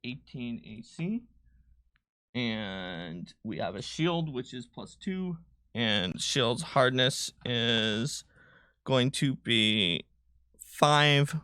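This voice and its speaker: Bright-voiced man thinking aloud. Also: mid-range voice, dry recording. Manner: thinks aloud while working through numbers. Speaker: game designer co-hosting a livestream. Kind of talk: casual chat explaining tabletop game rules.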